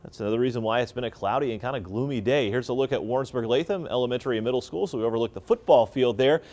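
A middle-aged man giving a chatty, brisk weather forecast in a TV studio. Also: medium pitch, a little mellow. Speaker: TV meteorologist.

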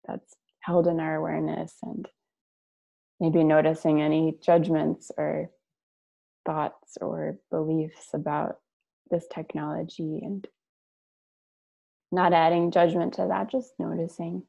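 A woman leading a guided meditation, speaking and reading aloud in a gentle, measured way with long pauses between short phrases. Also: deep voice, level tone, creaky. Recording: dull lo-fi online call audio.

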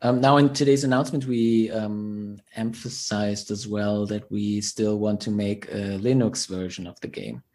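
A man's voice: lowish voice, steady tone, a little slow, few pauses, darkish tone. A man hosting a podcast, asking questions in a friendly, easygoing way with frequent ums. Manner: friendly, laughs easily, hesitant um. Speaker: podcast host. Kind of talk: interview questions.

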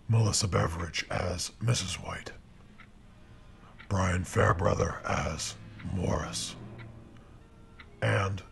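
Man, deep voice, gravelly fry, formal ominous radio drama announcer, deliberate scripted delivery. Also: a little hiss or hum. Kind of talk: scripted narration, vintage radio horror host.

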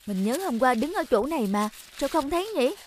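A woman's voice: sits high, lively intonation, brisk, a little mellow, a little hiss or hum. An actress voicing an earnest, anxious young woman in a Vietnamese cartoon, with a polite manner. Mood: puzzled. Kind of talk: cartoon voice acting. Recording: music under the voice.

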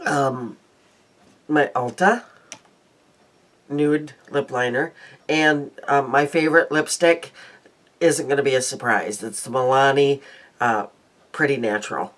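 A woman's voice: rumbling low, unhurried, pauses often, a bit bright. An older woman chatting casually to her webcam in a small room at home, rambling and restarting her sentences, her nose congested. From Midwestern United States. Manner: rambling, self-interrupting, chatty. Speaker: beauty vlogger. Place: small cluttered room indoors. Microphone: webcam at desk.

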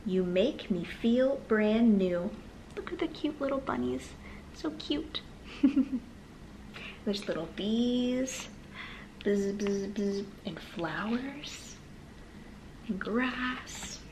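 A woman reading aloud to young children in a sing-song manner with gentle asides. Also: fairly high pitch, drawn-out words, pauses often, slight background noise, poor audio. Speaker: children's storytime host and reader. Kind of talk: read-aloud storytime for toddlers, addressing young children. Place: small living room, on a sofa, indoors.

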